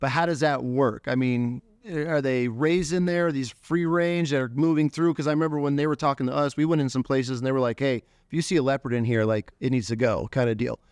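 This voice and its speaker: A man's voice: medium pitch, fairly even intonation, brisk, few pauses, a little mellow, clean tone, dry recording. An American man hosting a podcast, asking questions eagerly in loose, restarting sentences. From United States. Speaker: podcast host, hunting guide. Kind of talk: casual chat.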